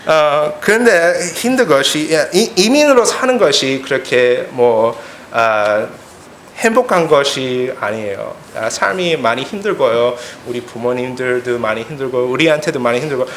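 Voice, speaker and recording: A man in his late twenties from the United States with an American accent, preaching haltingly in simple phrases and searching for words. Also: medium pitch, animated, fairly bright tone. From United States, Korean immigrant family. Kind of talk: sermon with personal anecdotes. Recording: echoey room, clear background noise.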